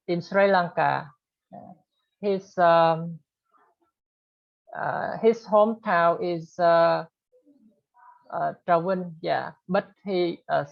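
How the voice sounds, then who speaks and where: Slow hesitant man. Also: a little high, gravelly. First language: Khmer. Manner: hesitant, many pauses and fillers. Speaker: speaker at an English learners' group. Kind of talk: informal talk to an online group. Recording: dull lo-fi recording.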